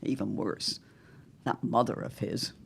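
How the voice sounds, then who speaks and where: A woman's voice: extremely low pitch, fairly even intonation, a little slow, vocal fry, slightly rough, heavily acted. A woman playing a witty, protective middle-aged mother of the 1910s, genteel and wry, in a period audio drama. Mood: indignant, catty. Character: arch, witty, protective society mother in 1917.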